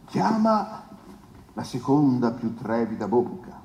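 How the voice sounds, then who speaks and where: An actor playing a pompous, insinuating defence lawyer who declaims to the court with rhetorical pauses and questions. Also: male voice, mid-range voice, big pitch swings, darkish tone, slight background noise. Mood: grandiose, emphatic. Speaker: stage actor. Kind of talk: theatrical courtroom oratory. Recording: echoey hall with long reverb.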